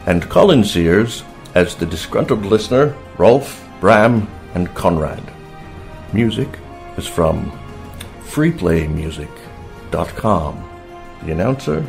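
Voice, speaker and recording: A man reading scripted closing credits as a radio-serial announcer in a measured, formal manner. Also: low-pitched, darkish tone. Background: music.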